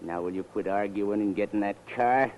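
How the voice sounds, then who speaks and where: An actor playing a gruff, slow-witted henchman with slangy tough-guy talk, in 1940s radio style on a muffled old broadcast. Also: fairly low pitch, a little hiss or hum, close to an everyday voice. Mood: gruff, threatening.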